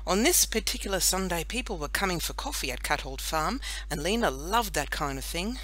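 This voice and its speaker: A woman narrating a children's audiobook in an expressive, deliberate storytelling manner. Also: lowish voice, low-quality recording. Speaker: audiobook reader.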